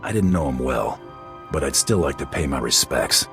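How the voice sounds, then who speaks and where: A tough male bounty hunter in a video game. His voice is rock-bottom deep and gravelly, delivered with dry, sardonic economy. Faint music runs behind. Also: normal speed, exaggerated voice. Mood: dry, stoic. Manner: deadpan, dry.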